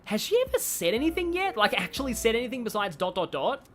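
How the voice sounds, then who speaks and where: A woman's voice: a little high, big pitch swings, fast talker. A woman giving casual, rambling live commentary on a game, chatty and wry. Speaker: game streamer. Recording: game music behind.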